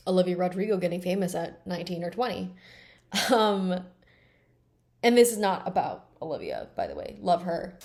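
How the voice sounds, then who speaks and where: A woman's voice: lowish voice, darkish tone. A woman in her late twenties talking bluntly and emphatically in a candid personal vlog, swearing freely. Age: 20s. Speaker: vlogger.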